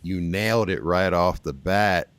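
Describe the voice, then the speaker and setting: A man's voice: low voice, unhurried, fairly bright tone, clear, resonant tone, no echo. A man hosting an interview. He talks in a casual, rambling way with lots of fillers and easy enthusiasm. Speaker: interview host.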